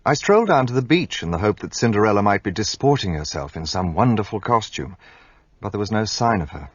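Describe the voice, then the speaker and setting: An actor playing an earnest, impulsive gentleman who narrates a radio play in an expressive way. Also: on the low side, a little fast, husky, no room sound, highs cut off.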